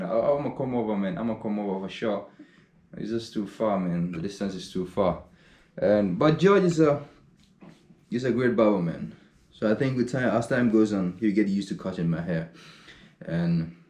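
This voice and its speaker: A young man vlogging casually to a camera held at arm's length, upbeat and chatty, swinging from loud hype to low mumbling. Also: reverberant. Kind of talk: casual vlog talk to camera. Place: small indoor room.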